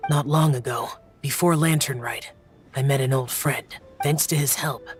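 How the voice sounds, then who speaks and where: A woman's voice: extremely low pitch, fairly even intonation, normal speed, faint music behind. A woman voicing a reserved young male warrior guardian in a video game, terse and hesitant.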